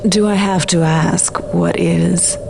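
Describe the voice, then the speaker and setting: Video game ally: composed, mysterious woman, slightly low register, grave and measured delivery. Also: steady tone, creaky, noisy background. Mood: cool, serious. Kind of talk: video game cutscene acting.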